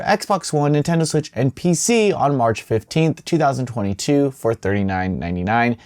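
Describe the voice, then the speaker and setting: A man's voice: mid-range voice, darkish tone, no room sound, clean studio sound. A man hosting a gaming news show, running through the stories casually with chatty, self-doubting asides. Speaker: host of a weekly video game news show. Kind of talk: casual news rundown with off-the-cuff commentary.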